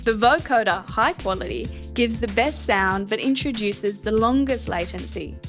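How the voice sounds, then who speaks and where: A woman with a somewhat high-pitched voice delivers scripted tutorial narration for a piece of software. She explains things in a measured way. Faint music sits behind her voice.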